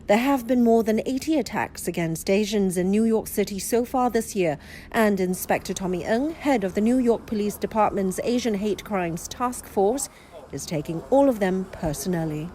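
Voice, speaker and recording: A woman news correspondent reporting from city streets in a measured, formal broadcast delivery. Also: mid-range voice, warm tone, slight background noise.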